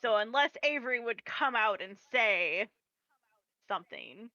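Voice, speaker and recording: A woman runs a tabletop game as its game master. Her voice is bright and lively, and it swings up and down as she giggles through loose narration full of restarts. The recording is dry and dull, like a cheap lo-fi mic. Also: on the high side, a little slow, no echo.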